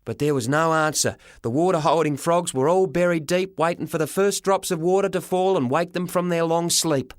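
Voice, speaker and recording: A man narrating a first-person story for an audiobook, dramatic and expressive, in short measured phrases. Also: fairly high pitch, dry recording, studio quality.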